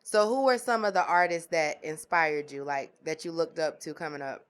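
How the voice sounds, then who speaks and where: A woman hosting a podcast, chatting in a lively, teasing and playful way. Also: sits low, moderate pace, clear, resonant tone. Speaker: podcast host. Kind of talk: casual podcast chat.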